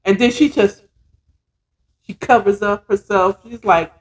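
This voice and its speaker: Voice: medium pitch, fairly even intonation, unhurried. A podcast host casually retelling a story with dramatic, conversational emphasis, repeated words and blunt, slangy, profane commentary.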